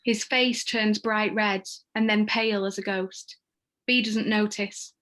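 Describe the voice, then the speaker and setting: A woman reads screenplay stage directions as narrator in a measured, descriptive manner. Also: mid-range voice, steady tone, a bit bright.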